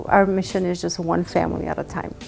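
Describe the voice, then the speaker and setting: A woman from Armenia, a charity guest, talking earnestly with many halting restarts. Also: on the low side, level tone, gravelly, a little mellow, faint music behind. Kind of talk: conversational interview.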